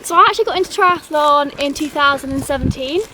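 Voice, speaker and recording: A chatty young woman from England with a high voice talks casually, full of ums and little laughs at herself. She is walking outdoors in a forest, recorded on a phone with loud background noise all around her. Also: fast talker. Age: 20s. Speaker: vlogger. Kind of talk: casual chat while walking.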